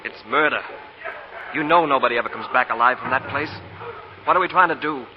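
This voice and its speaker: An actor playing a proud young Southern banker sick with fever in a 1940s radio drama. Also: male voice, mid-range voice, a little fast, close to an everyday voice. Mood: bitter. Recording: scratchy, muffled 1940 radio broadcast.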